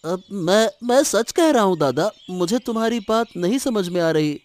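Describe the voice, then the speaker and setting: A man's voice: high-pitched, expressive, moderate pace, darkish tone, no room sound. A man playing a concerned, puzzled younger village brother, earnest and pleading, in a cartoon story.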